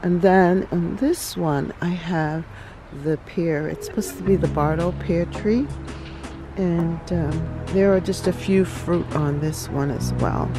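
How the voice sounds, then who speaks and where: A woman hosting a relaxed garden tour outdoors, talking reflectively with many pauses and ums. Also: on the low side. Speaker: garden channel host. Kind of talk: casual garden tour vlog.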